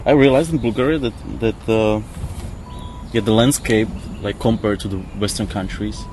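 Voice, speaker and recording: A man's voice: on the low side, steady tone, moderate pace, a little mellow. A man who is not a native English speaker, giving a reflective interview outdoors and searching hesitantly for words. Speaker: interview guest. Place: outdoors on a river island. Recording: clearly noisy outdoor field recording.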